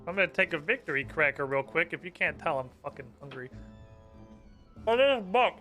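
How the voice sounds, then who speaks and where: A man with a bright, fairly high voice talks his way through a game. He mutters to himself and swears freely. Faint game music sits behind him. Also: sing-song, brisk. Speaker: streamer. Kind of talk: casual gameplay streaming.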